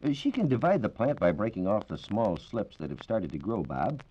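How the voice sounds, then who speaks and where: An American man explaining science in a measured, precise, formal way as a reporter on a 1940s radio program. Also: lowish voice, sing-song, breathy edge. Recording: dull 1940s radio recording.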